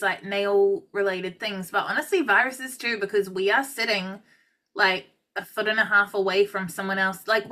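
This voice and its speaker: A woman hosts a relaxed podcast conversation and explains salon practice as an educator. Her voice is bright and forward, and her talk is loose and chatty, full of fillers. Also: medium pitch. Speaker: podcast host and nail educator. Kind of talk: casual chat.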